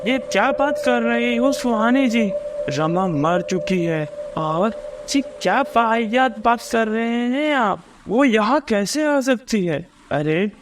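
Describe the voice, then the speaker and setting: A male school principal, voiced by a narrator, high in pitch, smooth-talking and quick to dismiss trouble. Cartoon storytelling, with clear noise behind the voice. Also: expressive, exaggerated voice. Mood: dismissive.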